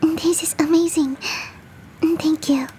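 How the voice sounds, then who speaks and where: Woman as a high, whispering, sweet yeti girl. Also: steady tone, slow, a little hiss or hum, low-quality recording. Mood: hopeful, eager. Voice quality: soft, breathy whispering. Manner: gentle, coaxing, affectionate, self-deprecating. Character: big, sweet, doting female yeti hostess. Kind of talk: whispered ASMR roleplay audio.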